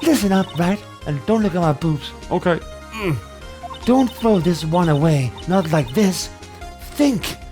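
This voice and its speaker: Man doing a bossy, lively female mentor. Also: high voice, soft background music. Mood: urging. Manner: brisk, urging. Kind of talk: comic amateur video game dramatic reading.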